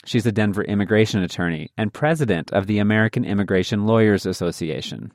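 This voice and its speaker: A man hosting a public radio program, reading scripted reports and interviewing guests in a measured way. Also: on the low side, expressive, dry recording, clean studio sound. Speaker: radio host. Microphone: studio microphone.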